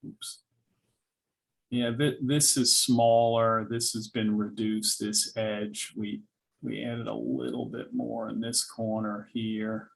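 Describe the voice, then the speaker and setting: A man presents design plans at a board meeting in a somewhat low voice with a gravelly creak. He speaks slowly and informally, halting and hesitating as he explains. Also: flat delivery. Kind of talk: informal presentation with questions and answers.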